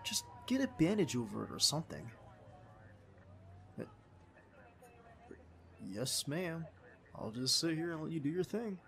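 A man's voice: on the high side, huge pitch swings, measured pace, gravelly, long pauses, fairly bright tone, heavily acted. A man playing a young male field agent, a doting, stubborn, playful boyfriend, in an ASMR roleplay audio drama. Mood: tender, teasing. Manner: affectionate, teasing, protesting.

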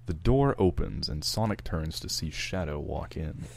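A man's voice: rumbling low, lively intonation, normal speed, gravelly, darkish tone, slight background noise. A man reading scripted narration for a fan-fiction screenplay.

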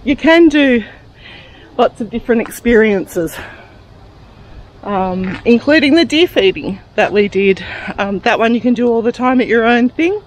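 A woman with a slightly high voice, chatting casually as she tours a town and a wildlife park. She talks in a relaxed, friendly guiding manner, and music plays clearly underneath her voice. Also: a little slow. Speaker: travel vlogger. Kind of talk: casual vlog narration.

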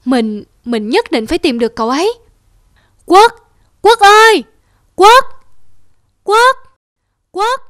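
Woman voicing an earnest young man, high-pitched. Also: sing-song, takes it slowly, long pauses, a bit bright, no echo, studio quality, exaggerated voice. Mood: distraught. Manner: emotive, pleading. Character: earnest, loyal young man, a prosperous householder. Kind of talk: cartoon folk tale dubbing. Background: music.

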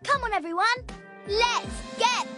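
A woman's voice: very high voice, unhurried, over music. A chirpy young girl inventor. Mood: eager. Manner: cheerful, explaining. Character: clever young girl inventor, upbeat and practical. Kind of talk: animated series voice acting.

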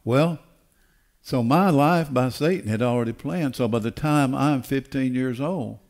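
An older man preaching a sermon in an emphatic, deliberate way, repeating phrases for weight. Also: medium pitch, darkish tone. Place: church auditorium stage. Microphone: headset.

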